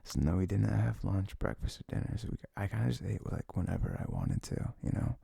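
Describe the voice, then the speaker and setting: A young man talking in a casual, unscripted, intimate ASMR style, rambling and halting with a lot of "like", his gravelly voice often sinking toward a whisper. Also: no room sound, clean studio sound. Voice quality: low, gravelly, breathy, often dropping near a whisper. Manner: rambling, halting, frequent "like", soft chuckles. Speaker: ASMR audio creator playing a comforting boyfriend.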